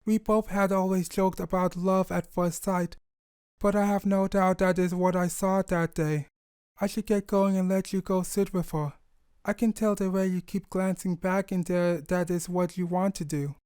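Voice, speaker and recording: A man's voice: sits high, steady tone, normal speed, a little mellow, clear, resonant tone, no echo, clean studio sound, pitched above the performer's own voice, lightly acted. A man voicing a kindly, reassuring female pony friend in a storybook reading, earnest and affectionate. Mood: gentle, encouraging.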